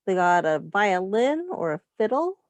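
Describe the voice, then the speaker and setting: A woman reading a picture book aloud to young children as a teacher, explaining simply and pausing to ask questions. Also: mid-range voice, big pitch swings, no room sound.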